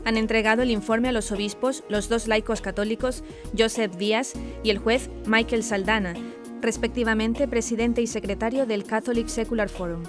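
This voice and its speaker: A woman's voice: a little high, fairly even intonation. A woman news presenter, reading a script formally and evenly. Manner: formal, measured newsreading. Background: clearly audible background music.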